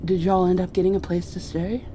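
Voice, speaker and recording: A woman doing an ASMR roleplay, drifting between whispers and soft speech in an affectionate, playfully scolding way. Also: lowish voice, level tone, brisk, slight background noise.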